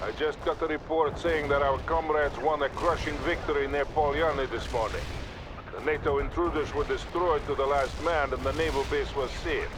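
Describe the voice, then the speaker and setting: Male actor as Soviet game commander: Russian accent, slightly high pitch, stern, clipped and formal, heard over loud battlefield noise. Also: fairly even intonation, normal speed, lightly acted. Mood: commanding. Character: stern, composed Soviet field commander on military radio. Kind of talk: scripted video game military radio dialogue. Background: artillery fire, explosions and gunfire.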